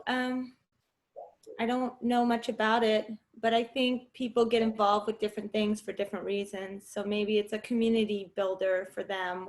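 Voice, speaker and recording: A woman panelist speaking in a tentative, easygoing way during a casual discussion. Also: mid-range voice.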